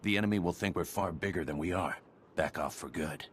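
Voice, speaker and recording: A man's voice: low-pitched, brisk, a bit bright, slight background noise. An actor playing a watchful male intelligence operative in a video game, speaking in terse, clipped phrases. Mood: brisk, guarded.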